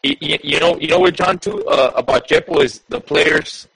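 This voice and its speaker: A man co-hosting a football podcast, talking in a halting way with many restarts. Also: on the high side, expressive, creaky, a bit bright, breathy edge, no echo, low-quality recording. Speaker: podcast co-host. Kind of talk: casual sports chat.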